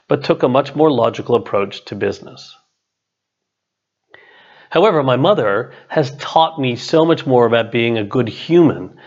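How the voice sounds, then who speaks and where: A middle-aged man, an author and coach, reads his own written text as scripted narration in a measured, deliberate way. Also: medium pitch, lo-fi sound. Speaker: author, business and leadership coach.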